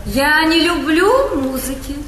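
A woman's voice: high-pitched, lively intonation, slow pace, a bit bright, full character voice. A woman playing a pert, sulky young dependant in a Russian stage comedy, speaking bluntly. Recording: echoey hall, faint noise.

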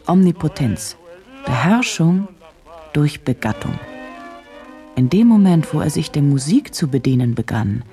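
An actress playing an intense, probing female journalist in a radio drama, speaking in deliberate, clipped, analytical phrases. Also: a bass voice, warm tone, heavily acted. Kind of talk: German radio drama acting.

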